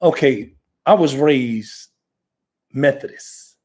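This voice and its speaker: A man's voice: mid-range voice, expressive, slow pace, darkish tone. American male host, loose rambling chat, mid-range voice. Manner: rambling, conversational, many fillers and restarts. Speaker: talk show host, ordained minister. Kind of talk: casual talk show chat.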